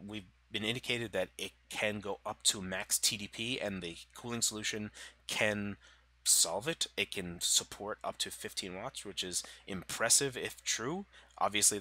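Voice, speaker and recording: A man's voice: on the low side, sharp tone. A man with a cold, sounding congested, casually explaining gadget specs over slides with many fillers and restarts. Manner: loose, filler-heavy, self-correcting. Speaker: tech channel host.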